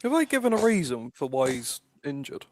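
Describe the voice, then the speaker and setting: A man's voice: on the high side, big pitch swings, a little fast, a little mellow. A man co-hosting a casual live darts chat, easygoing and teasing, calling his friend "mate". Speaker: co-host.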